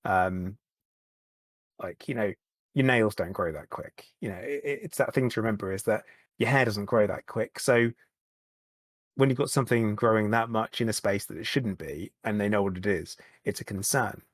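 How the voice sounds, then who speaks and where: A man, a podcast guest, talking candidly in a halting way, often repeating words and starting over. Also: on the low side, no room sound, studio quality. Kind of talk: candid conversational chat.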